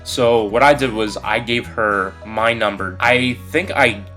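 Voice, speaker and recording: A man's voice: fairly low pitch, unhurried, soft background music. Congested young man vlogging candidly. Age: 20s. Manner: relaxed and candid, with many fillers. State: congested from a cold. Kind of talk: casual personal vlog.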